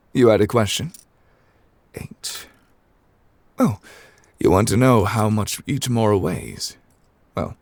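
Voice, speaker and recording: A man voicing a courteous, absent-minded ancient god in human form for an ASMR roleplay, speaking formally and wordily with polite apologies. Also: heavily acted. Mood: patient, flustered. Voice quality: very low, creaky. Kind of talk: ASMR character roleplay, improvised.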